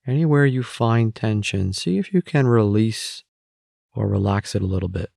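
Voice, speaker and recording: Man, meditation guide, gravelly low voice, calm gentle instruction, very dry close recording. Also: moderate pace, no echo.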